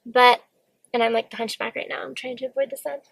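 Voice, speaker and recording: A young woman speaks openly and earnestly from inside her car, now and then catching on a stammer. Her voice sits fairly high with a gravelly, fry-edged texture, close and dry with no room sound. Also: little pitch movement, quick pace, dry recording. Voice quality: occasional stammer. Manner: open, earnest, self-reflective. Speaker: vlogger. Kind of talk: candid solo talk to camera. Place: parked car.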